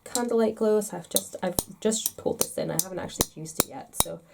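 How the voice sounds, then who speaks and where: A woman's voice: mid-range voice, a little fast, creaky, heavy background noise. Stuffed-up woman chatting about makeup. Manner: chatty and rambling, self-deprecating. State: congested, stuffed-up nose. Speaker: makeup vlogger. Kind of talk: casual chat to camera.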